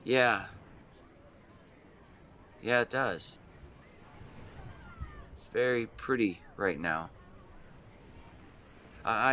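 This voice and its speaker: Outdoor ambience sits faintly behind a lovestruck young man, as acted by a man with a lowish voice. He speaks earnestly and tenderly, in halting phrases, as in an intimate romantic audio drama. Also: a bit bright, a little hiss or hum. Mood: wistful, fond. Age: young adult. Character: lovestruck 24-year-old man confessing love to his best friend. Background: seaside boardwalk ambience effects.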